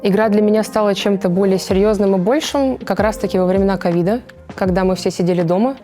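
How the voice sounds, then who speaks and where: A woman's voice: mid-range voice, quick pace, mellow. A 23-year-old woman, giving reflective interview answers as an esports player. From Moscow, Russia. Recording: music behind the voice.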